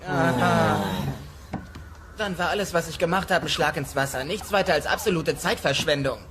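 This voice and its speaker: An actor playing an earnest, principled teenage dinosaur son in a sitcom audio play, speaking with sincere protest. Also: male voice, fairly high pitch, moderate pace, noisy background, natural voice. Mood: dejected.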